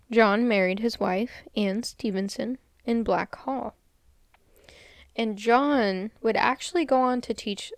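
A woman's voice: mid-range voice, no echo, studio quality. A woman co-hosting a casual true crime podcast, chatty and laughing often, sometimes stumbling over place names. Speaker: podcast co-host.

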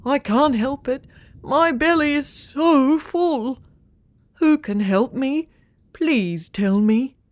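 Casting: a bashful, polite little boy cloud in a storybook, slow and pleading, dry close sound, voiced by a woman. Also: high voice, no echo, pitched above the performer's own voice. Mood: polite, anxious. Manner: pleading, apologetic, drawn-out. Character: shy, polite young male rain cloud with a bellyache. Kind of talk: storybook character voice.

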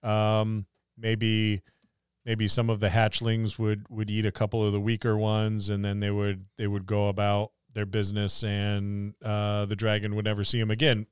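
A man's voice: fairly low pitch, completely flat delivery, moderate pace, clean tone, no echo, studio quality. A man co-hosting a podcast, chatting casually about tabletop gaming in a rambling, musing way. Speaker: podcast co-host.

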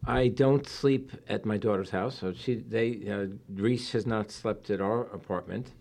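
An older man, a grandfather, speaking as a podcast guest in a halting way, repeating words as he goes. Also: lowish voice, darkish tone. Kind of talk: casual chat.

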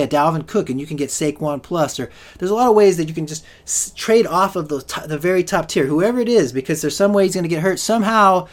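Fast-talking man with a strained voice. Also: fairly high pitch. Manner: rambling, with many restarts and fillers. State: losing his voice. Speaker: podcast host. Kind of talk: solo fantasy football podcast talk.

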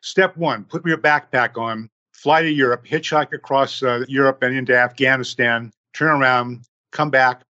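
A man in his sixties, a podcast guest who tells his story slowly, in numbered steps. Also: mid-range voice, fairly even intonation, pushed and bright, dull audio.

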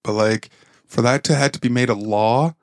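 A man's voice: mid-range voice, normal speed, no room sound. Wry man, dry close mic. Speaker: podcast co-host. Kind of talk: casual comedic chat.

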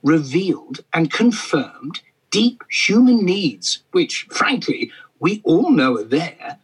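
Eccentric prof done by a guy, husky voice, bounces all over pitch-wise, pompous. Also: big pitch swings, slow, full character voice, poor audio. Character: eccentric half-Swedish male furniture professor. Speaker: guest expert. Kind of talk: comedy podcast interview.

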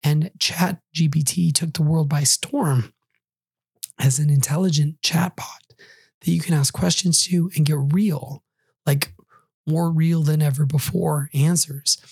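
Middle-aged male podcast host, hoarse from a lingering cold; husky, dark tone; casual wry delivery. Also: fairly high pitch, steady tone, measured pace, no room sound. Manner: conversational with wry asides. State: hoarse, recovering from a cold and flu. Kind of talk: solo podcast monologue.

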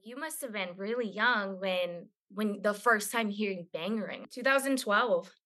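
A woman's voice: medium pitch, a little slow, pushed and bright. A woman hosting a casual chat interview, talking in an effusive, informal way with some swearing. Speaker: podcast host.

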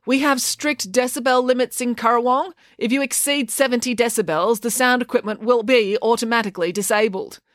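A woman's voice: a little high, fairly even intonation, a little fast, few pauses, a bit bright, dry recording, studio quality, pitched above the performer's own voice, natural voice. A woman voicing a stern, officious male schoolteacher with an admonishing manner in an audiobook.

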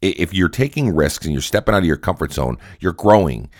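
A man with a cold and a raspy voice, giving earnest motivational talk on his own podcast. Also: sits low, expressive, fairly quick, dry recording, studio quality. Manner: earnest, repetitive, coaching tone. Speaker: podcast host.

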